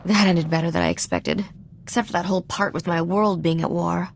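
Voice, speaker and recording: A woman's voice: on the low side, steady tone, brisk, gravelly, slight background noise. An actress playing a sly, sarcastic young woman smuggler and royal heir in a video game, wry, teasing and self-assured. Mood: gentle, resolute. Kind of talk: scripted video game dialogue.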